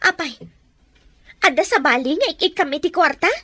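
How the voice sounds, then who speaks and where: A woman's voice: very high-pitched, animated, a little fast, sharp tone, dry recording, exaggerated voice. A woman playing an emotional, anxious wife in an audio drama, speaking in a nagging, confrontational way. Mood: upset, demanding. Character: jealous, anxious wife pleading over household money. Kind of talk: amateur audio drama dialogue.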